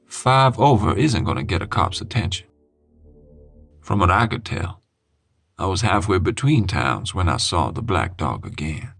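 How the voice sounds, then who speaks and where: A man reading a scary first-person story in a dramatic, suspenseful way with deliberate pauses. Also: sits low, very animated, creaky, a bit bright. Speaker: horror story narrator. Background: music.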